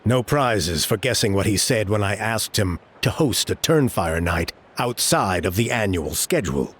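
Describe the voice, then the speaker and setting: An exasperated tribesman grumbles sarcastically in a lowish voice. His pitch rises and falls expressively, with faint game ambience behind him. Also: animated, moderate pace, fairly bright tone, a little hiss or hum. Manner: exasperated gossip, sarcastic mimicry.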